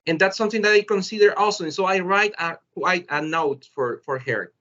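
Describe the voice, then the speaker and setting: A man from El Salvador with a Salvadoran Spanish accent, a teacher presenting informally and haltingly in his second language on a video call. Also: high-pitched, fairly bright tone. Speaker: presenter, educator. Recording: dull, lo-fi video call audio.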